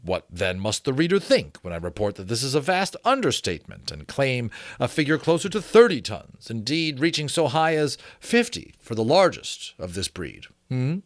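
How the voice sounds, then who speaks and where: A man doing a dramatic, deliberate audiobook reading, mixed with casual, chatty asides to his listeners. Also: medium pitch, no room sound, clean studio sound. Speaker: reader and presenter of a book reading channel.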